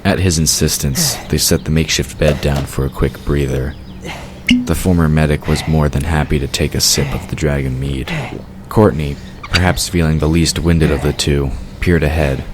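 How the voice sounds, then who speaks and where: Fantasy audio drama narrator: male, bass-deep voice, scripted storytelling, noticeable ambient noise underneath. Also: steady tone, moderate pace. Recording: clearly noisy background ambience. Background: outdoor ambience sound effects.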